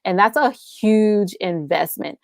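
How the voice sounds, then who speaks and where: An American woman talks as a guest in a casual interview. Her voice sits at an average pitch and her delivery is enthusiastic and emphatic. The sound is dry, with very little room. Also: expressive, slow pace, creaky, no room sound. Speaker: podcast guest, audio drama writer and producer.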